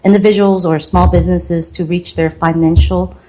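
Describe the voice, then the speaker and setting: A woman from Taiwan, a marketing consultant telling her life story as a podcast guest in an earnest, measured way. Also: on the low side, level tone, warm tone, low-quality recording.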